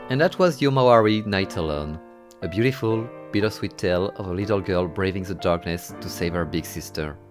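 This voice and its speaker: A man's voice: low voice, fairly quick, faint music behind. A man narrating a horror game playthrough from a script, moving between dramatic storytelling and wry, exasperated asides. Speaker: video game reviewer and narrator.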